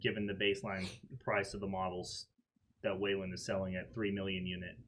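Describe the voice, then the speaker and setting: A man's voice: deep voice, monotone, fairly quick, a bit bright. A man playing a tabletop roleplaying game, talking casually and making offers in negotiation.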